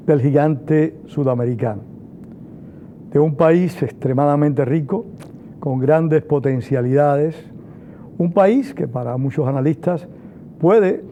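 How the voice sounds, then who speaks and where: Guy hosting a tv interview, formal and measured, thin tinny phone-ish sound. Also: a little high, mellow, a little hiss or hum. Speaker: TV interview host.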